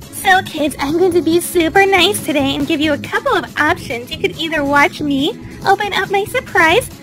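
A woman's voice: very high-pitched, a bit bright. A woman voicing a vain, cheerful young woman doll in a children's toy story, perky and chatty.

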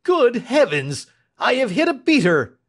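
A man voicing an angry, blustering upper-class sporting gentleman in a dramatic audiobook, exclaiming loudly and indignantly. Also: very high-pitched, big pitch swings, a little slow, pitched above the performer's own voice, heavily acted. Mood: alarmed shouting. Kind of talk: dramatic audiobook character acting.

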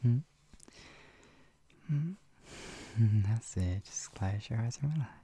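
A doting, playful lover acted out by a performer in an intimate roleplay ASMR. The voice is mellow and crackles with fry, often slipping into whispers. The delivery is teasing and flustered, full of soft hums and hesitant murmurs. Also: medium pitch, animated, takes it slowly, heavily acted. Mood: tender, soothing. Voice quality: breathy, husky, often dropping into whispers. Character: doting, playful, flustered lover tenderly caring for an ill pet-named partner.

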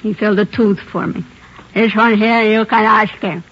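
A woman's voice: medium pitch, fairly even intonation, moderate pace, breathy edge. An actress playing a defiant young woman suspected of murder, curt and snapping back, in a 1950s radio drama. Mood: defiant, sullen. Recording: dull old radio broadcast.

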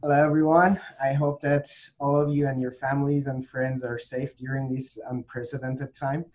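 A man from Iran in his thirties, introducing himself on a video call from a small office, measured and pausing often with fillers. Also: mid-range voice, level tone, a little mellow, poor audio. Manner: measured, with frequent pauses and fillers. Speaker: creative manager and TV presenter. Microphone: video call webcam. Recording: faint background noise on a video call.

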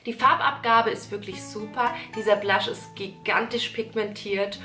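A woman's voice: medium pitch, steady tone, normal speed, bright, forceful tone. A young woman in her twenties with a stuffy nose from a cold, chatting enthusiastically as a beauty vlogger. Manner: chatty, gushing, addresses viewers as girls. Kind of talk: casual product-recommendation vlog. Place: small indoor room. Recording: echoey room with music behind.

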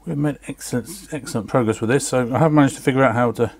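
A man's voice: mid-range voice, fast, darkish tone, slight background noise. A middle-aged man talking casually and methodically through a hands-on repair, step by step, at an indoor workbench. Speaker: repair video host. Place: indoor workshop bench.

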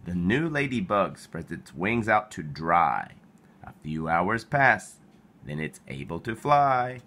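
A man reading a children's picture book aloud in an expressive storytelling style, animated and playful. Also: deep voice, slow. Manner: animated, with playful exclamations and sound effects.

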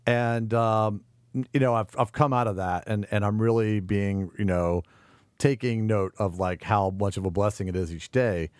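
A man being interviewed as a restaurateur guest, talking casually in halting phrases with repeated words. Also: lowish voice, steady tone, a little mellow, smooth, no echo, clean studio sound.

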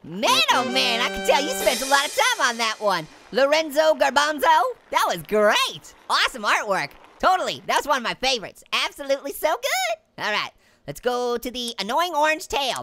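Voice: high voice, wildly swooping pitch, normal speed, bright, forceful tone, faint music behind, exaggerated voice. A performer voicing a cocky, joking talking orange who comments on a game, goofy and full of yelps. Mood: playful. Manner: goofy, jokey, yelps and laughs. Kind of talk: cartoon character playing a game on camera.

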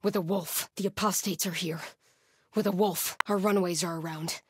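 A woman's voice: on the low side, steady tone, moderate pace, noisy background, close to an everyday voice. A woman playing a grim, fervent cult hunter in a video game, speaking in terse, menacing, commanding barks. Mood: alert, matter-of-fact. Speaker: video game enemy character.

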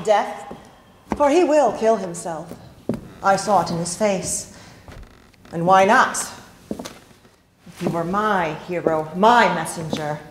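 Slow, echoey, scornful lady spy acted by a woman. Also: mid-range voice, lightly acted. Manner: deliberate, dramatic, declamatory. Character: proud, cunning Russian lady spy, loyal and scornful. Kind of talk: theatrical stage acting. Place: indoor theatre stage. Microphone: distant camera. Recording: echoey stage from afar, noisy, clicks.

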